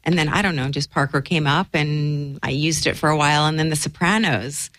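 A woman's voice: deep voice, fairly even intonation, fairly quick, fairly bright tone, dry recording, poor audio. A woman actress being interviewed, chatting in an easygoing way. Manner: chatty, easygoing, laughs readily. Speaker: actress guest. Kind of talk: casual interview chat.

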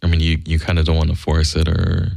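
A man co-hosting a casual radio chat show, laid-back and joking, with halting restarts. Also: very deep voice, completely flat delivery, creaky, a little mellow, dry recording, studio quality.

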